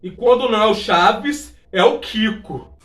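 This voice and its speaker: A Brazilian man with a notably high voice narrates an informal video essay. He speaks straight to the viewer with chatty, exclamatory asides. The sound is echoey and set well back from the microphone. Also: a little slow, noisy background. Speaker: YouTube presenter of a video essay on a TV sitcom. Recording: roomy echo, distant from the mic.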